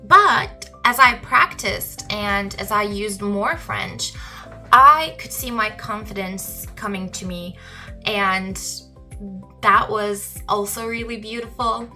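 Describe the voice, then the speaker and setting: A young woman, hosting a solo podcast and talking thoughtfully with frequent pauses. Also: medium pitch, bright, forceful tone, soft background music. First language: Turkish. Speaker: podcast host.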